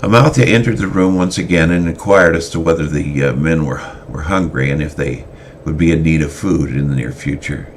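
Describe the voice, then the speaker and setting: A man giving a semi-dramatic reading of his own story, halting now and then with fillers and restarts. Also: rumbling low, a little hiss or hum.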